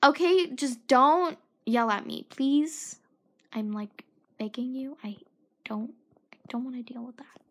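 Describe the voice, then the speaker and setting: A woman's voice: sits high, a little slow. A teenage girl hosting her own podcast, rambling and self-mocking, with a sore throat and a voice that drops into whispered asides. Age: teens. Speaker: podcast host. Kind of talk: rambling solo podcast chat.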